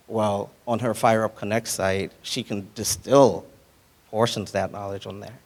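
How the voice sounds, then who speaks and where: Low-voiced man from Haiti, chatty speaker. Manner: conversational, frequent "right?" tag questions. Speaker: guest speaker. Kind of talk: casual conference talk.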